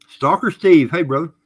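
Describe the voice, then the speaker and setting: A man's voice: on the high side, vocal fry, breathy edge. A middle-aged man co-hosting a casual podcast livestream, friendly and folksy, greeting viewers by name. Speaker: podcast co-host.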